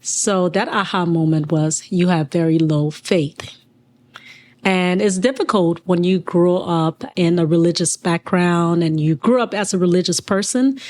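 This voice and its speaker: A woman in her forties, originally from Liberia, talking earnestly and at a measured pace as an interview guest, pausing mid-sentence. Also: fairly low pitch, a little mellow. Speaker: guest, business owner. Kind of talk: reflective personal interview.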